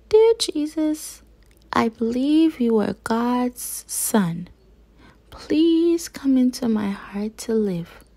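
A woman reads a children's picture book aloud at a slow pace. Her voice is husky and breathy, set at a middling pitch. She phrases each sentence carefully and simply, as a storyteller does for young listeners. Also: darkish tone.

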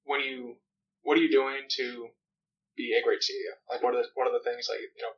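A man's voice: mid-range voice, fairly even intonation, fairly quick. A man hosting a podcast who circles through his questions in an in-person interview, restating and rephrasing as he goes. His voice comes through somewhat dull and muffled. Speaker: podcast host. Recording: slightly dull in-person recording.

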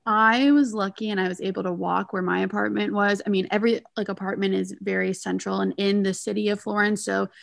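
A woman's voice: mid-range voice, fairly even intonation, brisk, vocal fry, hardly any pauses, no echo, studio quality. A college senior in her twenties chatting casually on a video call panel, with many ums and likes. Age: 20s. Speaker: student panelist.

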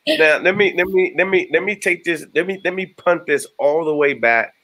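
A man's voice: a little high, normal speed, bright, forceful tone. A middle-aged American man hosting a video-call interview, earnest and stammering through restarts. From United States. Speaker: host. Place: indoor room.

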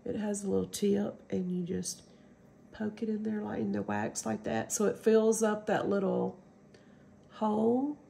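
A woman's voice: medium pitch, steady tone, a little slow, vocal fry, dark tone, a little hiss or hum. A middle-aged woman with a stuffy cold, chatting casually through a craft demo at home and rambling with lots of ums. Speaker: crafting channel host.

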